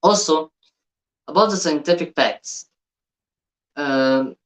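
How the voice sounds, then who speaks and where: A man from Egypt with an Egyptian Arabic accent and Arabic as his first language, hosting a reaction video in an earnest, halting way that often repeats and restarts. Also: a little high. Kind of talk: casual reaction commentary.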